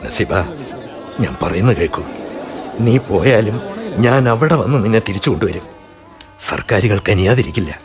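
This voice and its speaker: A man's voice: fairly low pitch, animated, normal speed, pauses often, a crowd in the background, natural voice. A man playing a desperate pleading husband. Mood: anguished. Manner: emotional, pleading, sometimes outraged. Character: desperate husband, middle-aged schoolmaster. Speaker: husband and narrator. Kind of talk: radio drama acting.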